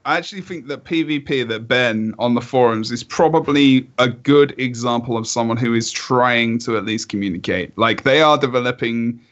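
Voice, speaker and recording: A man speaking in a measured, reasoning way in a casual video-call chat. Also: medium pitch, a bit bright. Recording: muffled lo-fi call audio.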